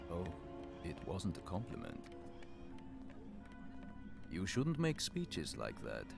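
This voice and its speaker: An actor playing a haughty, menacing alien senator in a cartoon, disdainful and sneering. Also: male voice, low voice, huge pitch swings, creaky, music in the background, exaggerated voice. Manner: slow, disdainful.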